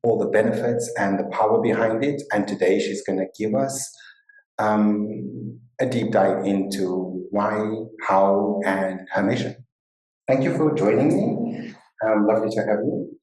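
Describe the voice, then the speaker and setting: A woman's voice: extremely low pitch, unhurried, mellow. Woman host, rambly questions w restarts, echoey room. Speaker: podcast host. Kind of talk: casual interview chat.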